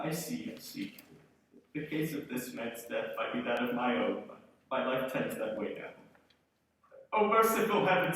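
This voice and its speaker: An actor in a live radio play playing a frightened, repentant old miser who pleads in a halting stammer. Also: male voice, fairly high pitch, a bit bright. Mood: humbled, then fearful. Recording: distant, echoey stage with slight noise.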